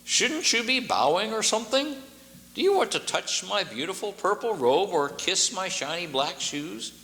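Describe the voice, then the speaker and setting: A pompous, self-important tiny king who demands everything grandly and lifts his voice high when he speaks, as a man reads him aloud. His bright, lively tone rings out with a long reverb tail, over a faint electrical hum. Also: moderate pace, heavily acted. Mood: haughty.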